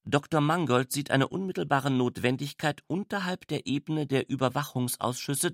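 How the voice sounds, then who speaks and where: A man reading scripted literary narration in a measured, formal, factual manner. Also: medium pitch.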